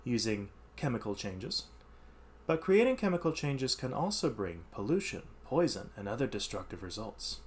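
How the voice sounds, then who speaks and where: A man reading educational text aloud as a teacher, stressing key words and adding informal explanatory asides. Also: medium pitch.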